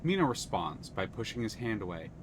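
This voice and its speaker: A man's voice: lowish voice, very animated, brisk, slight background noise. A man narrating a story game aloud on a live stream, reading expressively. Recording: music playing under the voice.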